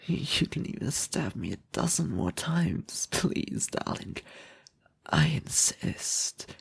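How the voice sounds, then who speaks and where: Obsessive lovesick male kidnapper, played by a man for ASMR roleplay: very slow, gravelly, intimate, doting yet threatening. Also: on the high side, big pitch swings, heavily acted. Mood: weak, adoring. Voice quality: husky, breathy, often whispering.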